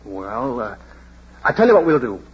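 An actor in a vintage radio drama playing a proud, bossy but tender street washer who speaks emphatically. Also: male voice, mid-range voice, big pitch swings, moderate pace. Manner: emphatic, declamatory, commanding. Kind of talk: 1940s radio drama acting. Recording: husky, dull old radio drama recording.

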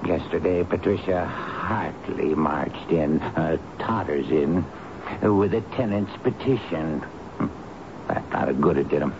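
A bossy, irritable manager of a radio play, brusque and grumbling in a fairly low voice. The recording is muffled and noisy. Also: male voice, unhurried. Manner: grumbling, brusque, barking orders. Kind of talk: old-time radio drama acting.

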